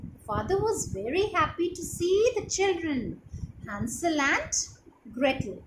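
A woman's voice: very high-pitched, big pitch swings, measured pace. A young woman with an Indian accent tells a children's story expressively, often putting questions to her young listeners as a teacher would. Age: young adult. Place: small room at home, indoors. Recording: roomy echo with clear background noise.

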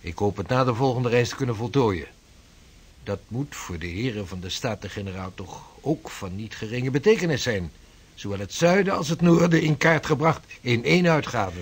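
Husky, earnest old-world navigator. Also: male voice, mid-range voice, expressive. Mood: determined. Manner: formal, measured, archaic phrasing. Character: earnest male 16th-century Dutch navigator and mapmaker. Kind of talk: period radio drama acting.

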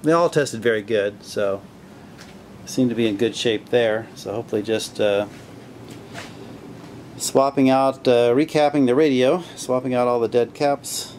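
A man casually narrating his radio restoration at a home workbench, relaxed and full of fillers as he thinks aloud. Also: medium pitch, measured pace, slight background noise. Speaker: hobbyist restoring a vintage radio.

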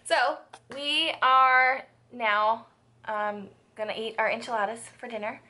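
A woman's voice: fairly high pitch, fairly even intonation, a little slow, pushed and bright. A woman in her twenties chatting casually to camera at home, in a rambling, hesitant way. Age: 20s. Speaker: vlogger. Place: indoors at home. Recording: clear background noise, home room.

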